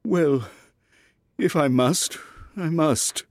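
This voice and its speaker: A pompous, fretful king from a storybook. He draws his words out very slowly in a raised, swooping voice, imperious yet anxious, in a dry recording. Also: fairly high pitch, big pitch swings, no room sound, pitched above the performer's own voice. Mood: weary, reluctant. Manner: drawn-out, imperious delivery.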